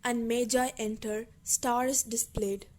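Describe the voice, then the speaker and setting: A woman's voice: on the high side, flat delivery, measured pace, darkish tone. A woman reading scripted narration and stage directions for a school puppet play.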